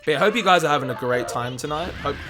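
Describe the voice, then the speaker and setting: A man's voice: fairly high pitch, fast talker, music in the background. A young Australian man giving chatty, casual livestream gaming commentary, calling viewers mate and dude. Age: young adult. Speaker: video game speedrun streamer.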